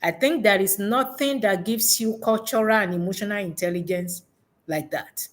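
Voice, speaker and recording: A woman's voice: medium pitch, normal speed. A woman giving unscripted, conversational interview answers as an education consultant, reflective and rephrasing her points for emphasis.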